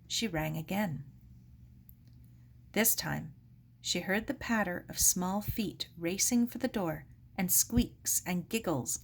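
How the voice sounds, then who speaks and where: A woman narrates a storybook at an unhurried pace. Her voice sits at an average pitch, and she reads with measured expression, giving each phrase its own space. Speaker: story reader.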